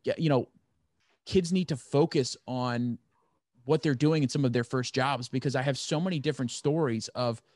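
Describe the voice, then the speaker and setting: A man's voice: mid-range voice, normal speed, a little mellow, dry recording. A man in his twenties chatting casually as he seeks advice, rambling and earnest. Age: 20s. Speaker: podcast host, first-time writer seeking advice. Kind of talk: casual chat.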